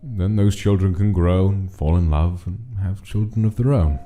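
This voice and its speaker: A man voicing a gentle, sorrowful but kind fatherly monster king in a comic dub, speaking formally and carefully. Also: low-pitched, normal speed, narrow, tinny audio, music in the background, close to an everyday voice. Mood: patient, explaining. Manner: formal, careful, soothing phrasing. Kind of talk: dramatic comic dub voice acting.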